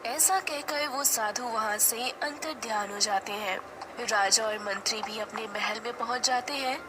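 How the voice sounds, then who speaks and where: A woman's voice: fairly high pitch, unhurried, very noisy. A woman narrating a scripted story as the storyteller.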